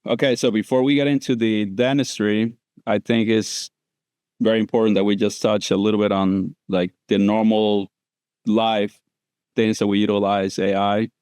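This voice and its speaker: A man's voice: fairly low pitch, flat delivery, normal speed, a little mellow, clear, resonant tone, dry recording. A man from Costa Rica, a dentist guest on a podcast, explaining things casually with loose grammar and many fillers. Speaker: guest prosthodontist.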